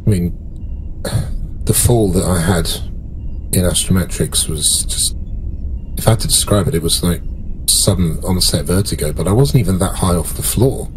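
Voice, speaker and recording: A man playing a weary, wry alien officer in improvised tabletop roleplay, speaking in a dry, halting, understated way. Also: low voice, natural voice, low-quality recording. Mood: troubled. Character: weary, wry long-lived alien male officer, now a patient. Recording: clear background noise.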